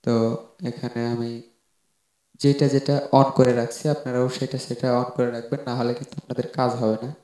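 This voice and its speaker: A man's voice: mid-range voice, flat delivery, fairly quick, mellow. A man narrating an informal how-to tutorial, explaining step by step and often repeating himself. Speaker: tech tutorial host. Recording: roomy echoing voice-over.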